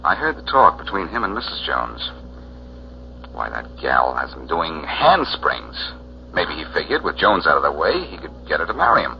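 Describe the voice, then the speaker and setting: An American man acting a quick-witted, wisecracking detective in 1940s radio style, wry and smooth-talking. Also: very deep voice, big pitch swings, noisy background, full character voice. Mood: reasoning. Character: quick-witted, wisecracking male amateur detective, cool under pressure. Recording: muffled, humming old radio recording.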